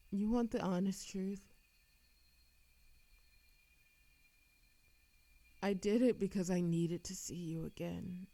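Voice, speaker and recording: A woman performs an immortal woman hopelessly in love, reckless and quick to get defensive. Her mid-pitched voice stumbles with feeling as she addresses the listener in an intimate audio drama. The sound is very dry, with no room around it. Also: steady tone, dark tone, no room sound, close to an everyday voice. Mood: anguished confession. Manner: halting, stammering, emotional. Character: lovesick immortal woman, defensive, reckless, confessing to a Grim Reaper. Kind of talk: audio roleplay monologue, acted intimately to the listener.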